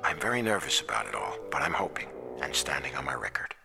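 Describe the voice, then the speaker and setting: An actor playing an anxious screenwriter confiding in his diary in a measured way, for a radio drama. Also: rumbling low, big pitch swings, gravelly, music in the background, heavily acted. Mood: worried, restrained. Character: anxious male screenwriter reading his 1933 diary aloud.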